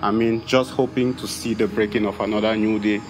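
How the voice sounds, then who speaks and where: A man's voice: on the low side, little pitch movement, a little fast. Earnest Cameroonian man over background music. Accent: Cameroonian English. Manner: earnest, emphatic, repeats phrases for force. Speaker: founder of an advocacy organization. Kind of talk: interview testimony. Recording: music playing behind the voice.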